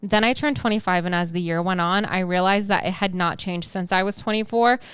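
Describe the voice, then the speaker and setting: A woman in her twenties co-hosting a casual podcast, chatty and animated, laughing easily as she talks. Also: medium pitch, fairly quick, clean tone, dry recording. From United States. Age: 20s. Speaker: podcast co-host.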